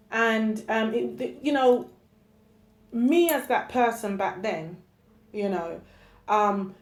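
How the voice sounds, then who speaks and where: A woman's voice: mid-range voice, a little mellow. A woman poet talking reflectively and candidly about her own work, conversational and emphatic, often checking that the listener follows. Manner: candid, emphatic, with frequent "you know" and check-in questions. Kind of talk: reflective, conversational personal talk. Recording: roomy, echoey sound.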